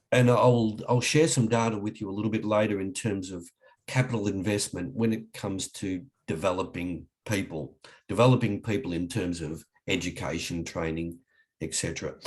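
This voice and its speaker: Husky-voiced man presenting a webinar. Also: lowish voice, darkish tone. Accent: Australian. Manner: halting, frequent restarts and fillers. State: husky from a cold. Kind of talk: online webinar lecture.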